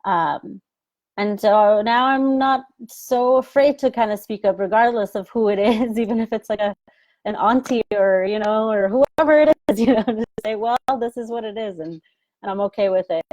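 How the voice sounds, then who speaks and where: A woman's voice: medium pitch, moderate pace, a little mellow, no room sound, low-quality recording. A woman on a discussion panel, talking casually with lots of fillers and small laughs. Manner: chatty, trailing fillers, laughs between thoughts. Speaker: panel member.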